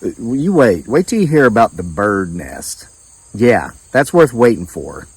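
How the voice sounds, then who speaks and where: A hoarse man hosting his own channel, talking to camera in an indignant, folksy way and reading out viewer comments. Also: on the low side, big pitch swings, measured pace. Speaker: channel host.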